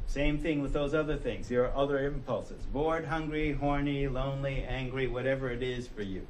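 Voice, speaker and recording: An American man teaching a college class, his voice sitting at a middle pitch. He speaks in a loose, conversational way, tossing out rhetorical questions and slipping into the inner voices of the people in his examples. A faint hiss sits under the classroom recording. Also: low-quality recording. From United States. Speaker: college instructor. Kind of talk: classroom lecture.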